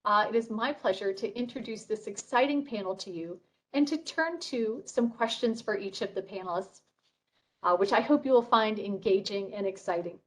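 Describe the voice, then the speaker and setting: A woman's voice: medium pitch, lively intonation, normal speed, rough recording. A woman moderating a panel, giving polished, upbeat prepared introductions. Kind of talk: formal event introduction.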